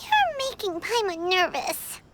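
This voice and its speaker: A woman voicing a small, excitable, curious childlike fairy companion in a video game, squeaky and exclamatory. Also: unhurried, background noise, heavily acted. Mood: curious, fussy. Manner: exclamatory, refers to self in third person. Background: game music.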